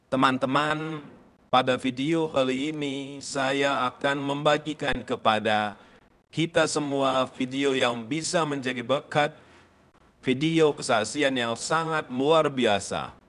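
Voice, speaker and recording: Give me a slow, solemn man introducing a church testimony video. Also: medium pitch, a bit bright. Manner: slow, solemn greetings and blessings. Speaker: church channel host and reporter. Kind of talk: formal scripted introduction.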